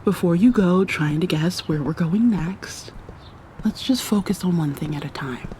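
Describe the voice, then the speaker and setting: Woman as teasing, affectionate dominant girlfriend, ASMR roleplay: lowish, very relaxed pace, intimate half-whispered delivery. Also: a little slow, a little hiss or hum, close to an everyday voice. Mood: tender, doting. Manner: soft, intimate, coaxing, often dropping to a whisper.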